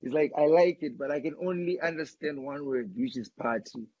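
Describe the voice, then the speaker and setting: A man from Zimbabwe, a guest artist talking casually in an interview, chatty and quick to repeat himself. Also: fairly high pitch, a little mellow. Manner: chatty, repeats words, says bro a lot. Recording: dull lo-fi sound.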